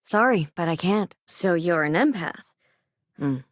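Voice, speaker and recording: A woman's voice: fairly low pitch, lively intonation, unhurried, no room sound. An actress playing a no-nonsense, weary but caring woman doctor in a video game, speaking in a dry, conversational way. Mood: measured, wry. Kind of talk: scripted game dialogue lines.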